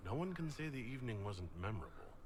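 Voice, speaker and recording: Resolute game protagonist, courteous, lively pitch movement, quick delivery, roomy echo. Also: male voice. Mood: polite, candid. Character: polite, resolute male hero and leader. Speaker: player character in a fantasy video game. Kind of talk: scripted video game dialogue.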